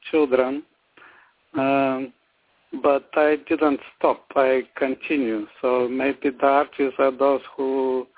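A middle-aged man from Bulgaria with a Bulgarian accent, giving halting, slow answers with many fillers as an interview guest. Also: mid-range voice, dry recording. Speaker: guest artist. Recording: tinny phone line.

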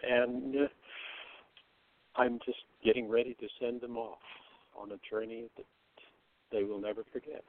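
An older man chatting casually as a guest, with a halting, hesitant way of talking. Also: medium pitch, little pitch movement. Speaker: guest actor. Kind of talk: casual chat. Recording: hissy, noisy phone-like line.

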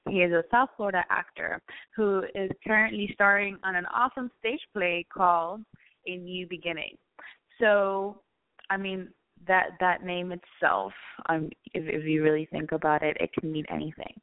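A woman's voice: fairly low pitch, fairly even intonation, normal speed. A woman hosting a live radio interview show in a casual, chatty way, thinking aloud as she talks. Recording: tinny phone-like band, roomy echo.

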